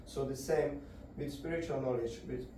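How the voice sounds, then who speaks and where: A man giving a devotional scripture lecture to a group indoors, halting and searching for words as he explains. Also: medium pitch, background noise. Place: indoor gathering room. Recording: very echoey room, voice far off.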